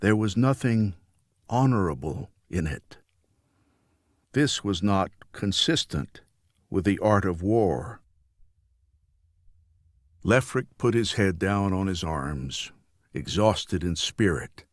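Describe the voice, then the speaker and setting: Slow, low-voiced man narrating a novel. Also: animated, no echo, clean studio sound. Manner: measured, deliberate, solemn delivery. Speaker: audiobook narrator.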